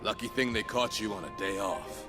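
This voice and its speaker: An actor playing a roguish, friendly ex-soldier bartender in a video game, talking with folksy, affectionate banter. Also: male voice, lowish voice, lively intonation, moderate pace, over loud music, close to an everyday voice. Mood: friendly, businesslike.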